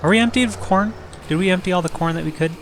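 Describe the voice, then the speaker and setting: A young man hosting a farming game let's play, slightly hoarse and rough-voiced, rambling and joking at himself as he thinks aloud. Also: high voice, quick pace, a bit bright, a little hiss or hum. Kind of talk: casual gameplay commentary.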